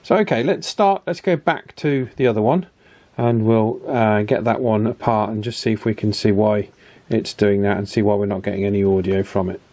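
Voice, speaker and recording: A man giving a casual hands-on repair demonstration as host, unhurried and thinking aloud through each step. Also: on the low side, steady tone, warm tone, no echo. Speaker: host of a radio repair channel.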